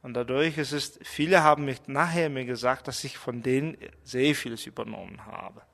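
A middle-aged man from Mongolia, speaking with a Mongolian accent and talking thoughtfully about his life, pausing as he searches for words. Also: medium pitch, gravelly, fairly bright tone, in a large hall. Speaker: interviewee. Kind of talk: portrait interview, personal reflections.

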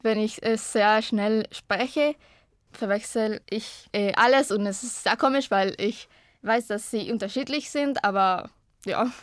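A woman's voice: fairly high pitch, a little slow, no room sound, clean studio sound. Young woman speaking learner German. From Costa Rica. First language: Spanish. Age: 20s. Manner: hesitant, searching for words. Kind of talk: personal self-introduction.

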